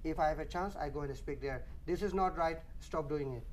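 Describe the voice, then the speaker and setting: A man's voice: on the high side, a little fast, a little mellow. Earnest man, faint background hiss. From Arakan, Burma. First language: Rohingya. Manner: earnest, emphatic, piles up lists and repeats points. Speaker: guest, human rights activist. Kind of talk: studio TV interview. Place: TV studio.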